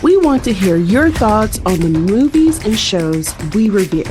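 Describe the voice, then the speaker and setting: Lively Midwestern woman podcast host. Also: mid-range voice, a little slow, music in the background. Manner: animated, laughs often. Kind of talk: casual pop-culture chat.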